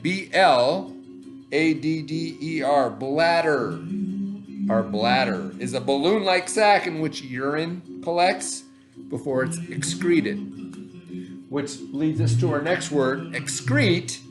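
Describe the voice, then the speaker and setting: A man teaching young children in an instructive way, explaining words step by step and checking in as he goes. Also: on the high side. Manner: instructive, spells and sounds out words, checks with "right?". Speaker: elementary school teacher. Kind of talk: read-aloud lesson for young children, explaining vocabulary.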